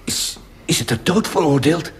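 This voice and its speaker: An actor playing a desperate, devoted man who pleads urgently in a breathy half-whisper in a radio drama. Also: on the high side, expressive, normal speed, a little hiss or hum, close to an everyday voice.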